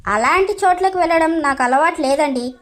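A woman's voice: extremely high pitch, fairly quick, a bit bright. A woman voicing a hurt, timid young daughter-in-law in a drama story, with a pleading, questioning manner. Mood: hurt, confused.

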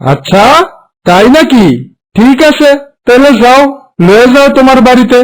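A man's voice: extremely high pitch, lively intonation, normal speed, low-quality recording. A man voicing a stern, bossy, scheming village husband in a cartoon, speaking with a Bangladeshi Bengali accent. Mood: commanding. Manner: confrontational, commanding. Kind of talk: cartoon moral story voice acting.

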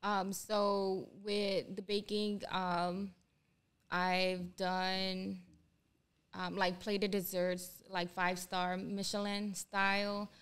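Young woman from Ohio, Spanish first language, flat even delivery, very clear voice, hesitant with fillers. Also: medium pitch, extremely slow. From Ohio, USA. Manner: hesitant, many "um"s. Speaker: guest, army culinary instructor. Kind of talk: casual interview. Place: small studio room. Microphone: desk mic on boom, headphones.

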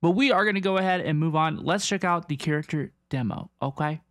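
A woman's voice: low-pitched, brisk, dry recording, clean studio sound. A woman giving casual live reaction commentary, easily amazed and gushing as she hosts. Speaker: host of a reaction video. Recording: music behind the voice. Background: game trailer music and dialogue.

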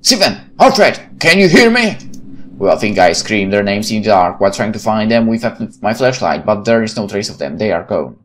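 A man reading a visual novel aloud in a theatrical, expressive manner, with spoken asides. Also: lowish voice, a little fast, few pauses, slight background noise. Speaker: gaming channel narrator. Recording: music behind the voice.

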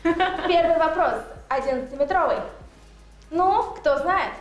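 A woman's voice: very high voice, a little slow, roomy sound, lo-fi sound, faint music behind. A young woman hosting a quiz in a studio, speaking in a playful, teasing way into a handheld mic. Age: young adult. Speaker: host. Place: club locker room studio.

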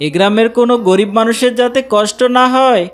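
A woman's voice: a little high, fast, smooth, close to an everyday voice. A woman voicing a mild, puzzled village father in a children's cartoon. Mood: agreeable.

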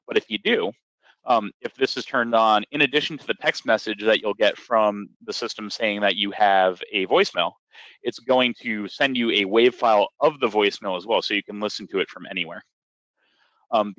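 A man with a slightly low, bright and forward voice leads a software training webinar. He explains each option step by step in an informal, instructional way. The sound is close and dry, with very little room around it. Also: no room sound, poor audio.

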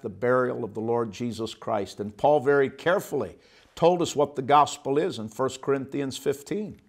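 Preacher in his 50s, seated studio Bible teacher on a lapel mic, emphatic and gesturing, slightly high-pitched man. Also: vocal fry. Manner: emphatic, gestures broadly, drawn-out stressed phrases. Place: indoor studio set with guitar on wall. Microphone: lapel mic, seated at desk facing camera.

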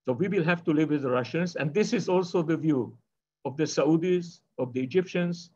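An older man delivers an online lecture over a webcam from a bookshelf-lined study. His voice sits a little high for a man, and he wanders through his points with frequent fillers and restarts. The call audio sounds somewhat muffled and lo-fi. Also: a little fast, a little mellow. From Israel. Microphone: video call webcam. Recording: dull lo-fi video call audio.

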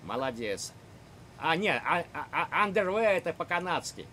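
A man's voice: on the high side, very animated, moderate pace, sharp tone, slight background noise. An older man from Ukraine hosting chats with strangers on a video call from his home room, talking in a lecturing, provocative way with many hesitations. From Ukraine (Kharkiv). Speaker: chat roulette host. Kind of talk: casual video chat with strangers. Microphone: webcam on video call.

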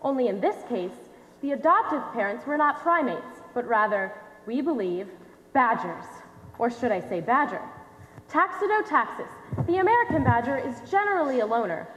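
A young woman playing an earnest scientist in a stage play, giving a formal presentation with projected, deliberate delivery. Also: on the high side, expressive, a bit bright. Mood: formal, proud. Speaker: stage actor in a high school one-act play. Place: on stage in a large dark auditorium. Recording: echoey theater hall, long reverb.

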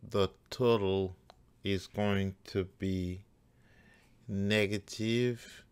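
A man working through a math problem step by step as a teacher, deliberate and halting phrase by phrase. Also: on the low side, clear, resonant tone. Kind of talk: step-by-step worked-problem tutorial.

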